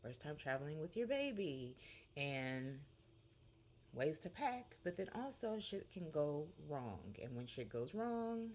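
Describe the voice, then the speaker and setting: A woman in her forties talks to her vlog audience from home in a candid, rambling, chatty way. Her voice sits low, and a faint room hum runs underneath. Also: sing-song, slow pace, a little mellow. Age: 40s. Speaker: vlogger. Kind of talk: casual catch-up vlog.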